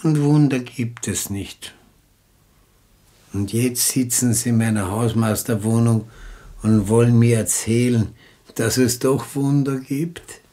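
An actor playing a skeptical, stubborn Viennese caretaker in a German radio play, deliberate and ruminating, with a Viennese accent. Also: male voice, mid-range voice, warm tone, lightly acted. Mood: wry, doubting.

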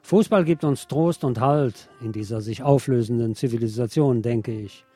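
A man hosting a radio show, reading a scripted personal essay in measured, short literary phrases. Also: medium pitch, mellow. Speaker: radio host.

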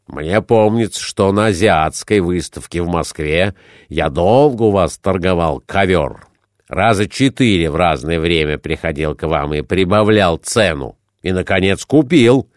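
A man voicing a blunt, suspicious merchant in a storybook reading, questioning in a measured, pointed and persistent way. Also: low voice, animated, dry recording, clean studio sound. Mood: suspicious, probing.

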